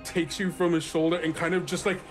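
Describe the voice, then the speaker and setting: A man's voice: fairly high pitch, level tone, brisk, soft background music. A man hosting a tabletop game podcast as game master, narrating and chatting casually. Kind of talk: casual actual-play game narration and chat.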